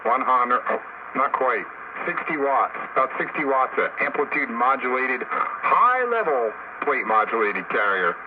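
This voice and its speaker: A man's voice: mid-range voice, expressive, unhurried. Man on noisy, muffled two-way radio. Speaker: amateur radio operator. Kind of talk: casual on-air radio chat. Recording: noisy, band-limited two-way radio transmission.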